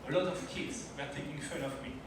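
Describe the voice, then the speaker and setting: A man's voice: on the high side, quick pace. French man telling stories in an echoey hall. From France. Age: 30s. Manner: storytelling, personal anecdotes, non-native phrasing. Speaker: conference speaker. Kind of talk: timed slide presentation. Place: event hall at a launch party. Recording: very echoey hall, distant mic, noisy.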